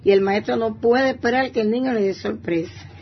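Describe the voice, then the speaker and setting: A woman's voice: fairly high pitch, brisk. An older woman from Santiago de Cuba province with an eastern Cuban accent, a retired teacher giving a personal testimony. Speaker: retired primary school teacher, interviewee. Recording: muffled, faint background noise.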